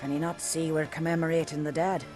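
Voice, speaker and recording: A woman's voice: sits low, flat delivery, fast, natural voice. A woman playing a curt, mournful island mourner in a video game. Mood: indignant. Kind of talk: video game cutscene acting. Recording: loud game music under the voice.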